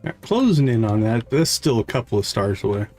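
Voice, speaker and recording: Animated man livestreaming over soft music. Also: medium pitch, fairly quick. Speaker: livestream gamer host. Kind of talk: casual game livestream commentary, reading dialogue aloud.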